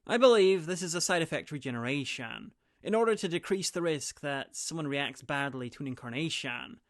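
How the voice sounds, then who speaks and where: A man talks through a TV episode in a snarky, mocking recap and drops the odd curse. His voice sits somewhat high for a man. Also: expressive, a little fast. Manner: sarcastic, swearing, mocking. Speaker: TV episode reviewer. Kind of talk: comedic recap commentary.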